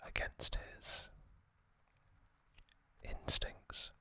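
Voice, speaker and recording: Slow, bright ASMR whisperer. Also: dry recording. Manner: soft unvoiced whisper throughout; gentle, measured storytelling. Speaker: ASMR channel host.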